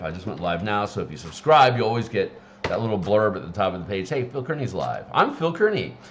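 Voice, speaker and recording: A man with a raspy morning voice, chatting casually on a live stream and rambling with many fillers and restarts. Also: on the low side. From Seattle area. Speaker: streamer and game designer.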